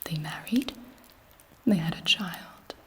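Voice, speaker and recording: A woman voicing a haughty, seductive vampire queen in an ASMR roleplay, speaking softly with an aristocratic, condescending manner. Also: on the low side, slow. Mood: conspiratorial scorn. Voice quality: soft breathy whisper.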